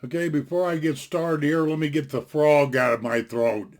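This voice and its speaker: A man's voice: a little high, steady tone, normal speed. A man in his sixties talking casually from his home into a desktop mic, giving a blunt, folksy, opinionated rant that rambles and restarts often. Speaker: vlogger. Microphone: desktop computer mic.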